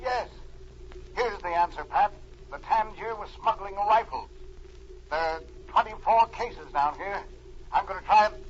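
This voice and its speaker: An actor playing a brave, decisive special agent and diver in an old radio adventure, reporting in clipped statements. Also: male voice, high voice, unhurried, pauses often. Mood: intent discovery. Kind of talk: 1940s radio adventure acting. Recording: muffled, noisy old radio recording.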